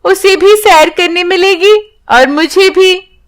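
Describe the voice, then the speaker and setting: A little village girl from a children's storybook played by a woman, pitched very high. She sounds childlike and earnest, affectionate with those close to her and easily frightened. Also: slow pace. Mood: eager, affectionate.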